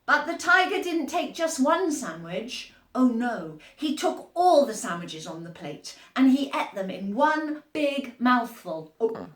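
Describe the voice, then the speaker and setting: Female storyteller, higher-pitched, echoey room, animated picture-book reading with teacherly asides for little ones. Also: unhurried, hardly any pauses. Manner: encouraging, explains and asks questions to young listeners. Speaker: nursery storyteller reading picture books to children. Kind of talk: animated read-aloud storytime with asides to young children.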